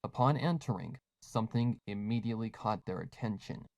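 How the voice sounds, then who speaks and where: A man giving a dramatic, ominous, deliberate scripted narration of a horror story. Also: on the low side, gravelly, a little mellow, no room sound.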